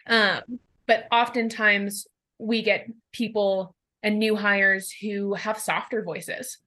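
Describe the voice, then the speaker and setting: A young woman guest talks in a bright, forceful tone that carries easily; she says herself she has no indoor voice. Her delivery is casual and conversational, with a mid-range pitch. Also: level tone, takes it slowly. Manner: loud, outgoing voice. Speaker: mountain guide, guest. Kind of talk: casual interview talk.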